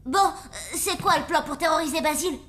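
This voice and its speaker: A woman voicing a bold, curious human schoolgirl who gets excited easily, in a cartoon. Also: sits high, brisk. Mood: calm. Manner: eager, excitable. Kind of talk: cartoon voice acting. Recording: cartoon soundtrack with faint music.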